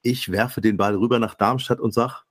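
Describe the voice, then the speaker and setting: A man's voice: fairly low pitch, steady tone, normal speed, no echo. Brief: podcast host, male, slightly nasal from a cold, dry close mic, welcoming and formal. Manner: friendly, formal introductions. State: stuffy nose. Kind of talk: casual podcast talk. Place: home studio, remote call.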